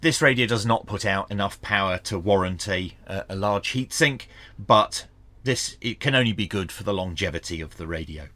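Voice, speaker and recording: A man talks through a hands-on product review. His voice sits on the low side and is recorded dry, with no room sound around it. He explains things in a measured, factual way. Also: sharp tone, no echo. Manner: measured, matter-of-fact explaining. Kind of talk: hands-on tech review commentary.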